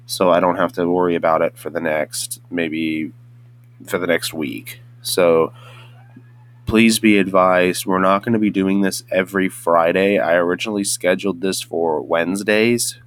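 A young man hosting a casual solo podcast, hoarse and a bit congested from just waking up, rambling with many restarts and fillers. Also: deep voice, steady tone, moderate pace. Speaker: podcast host.

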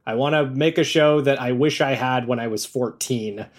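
Male creative-type guest, mid-range voice, casual and animated, conversational anecdote telling. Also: medium pitch, normal speed. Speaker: podcast editor and audio drama creator, interview guest.

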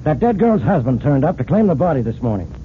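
An actor playing a gruff, exasperated police inspector in a 1940s radio drama. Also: male voice, on the high side, very animated, fast talker, phone-quality sound. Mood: smug, testy. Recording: thin, faintly noisy old radio broadcast.

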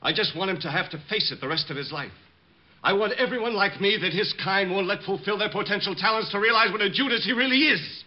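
Unhinged, high-voiced radio villain. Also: male voice, expressive, quick pace. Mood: bitter, agitated. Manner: menacing politeness swinging into agitated outbursts. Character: unhinged, bitter bomber convinced of his own great talent. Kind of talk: 1950s radio detective drama acting. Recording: muffled vintage radio broadcast recording.

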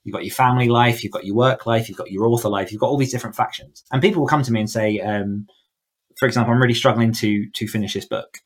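Man, medium pitch, podcast guest, author coach, informal explanatory delivery, conversational. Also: steady tone, quick pace. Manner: informal, explanatory, peppered with "like" and "you know". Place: indoors, in a room at home, online call.

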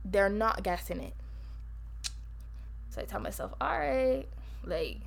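A woman with a Barbadian accent co-hosting a podcast, talking fast and emphatically in casual chat. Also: medium pitch, animated, vocal fry. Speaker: podcast co-host.

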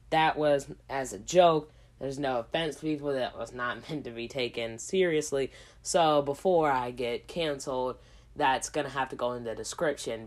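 A woman hosting a casual solo podcast, hoarse from a cold, rambling in a slangy way with lots of asides. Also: extremely low pitch. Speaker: podcast host.

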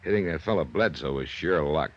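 Muffled 1950s radio recording, deep-voiced American male cop, weary, plainspoken, rueful, folksy. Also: brisk, dry recording. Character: weary, plainspoken male highway police lieutenant. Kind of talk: 1950s radio drama acting.

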